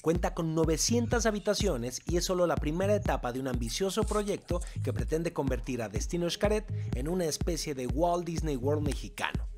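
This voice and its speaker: A man's voice: a little high, expressive, brisk, few pauses. Male voice-over over music. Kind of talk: scripted travel narration.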